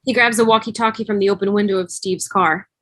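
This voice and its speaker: Brief: woman narrator, mid-pitched, reading scene headings and action, dry video-call sound. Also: fairly even intonation, quick pace, fairly bright tone, no echo. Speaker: stage-direction narrator. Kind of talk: scripted narration.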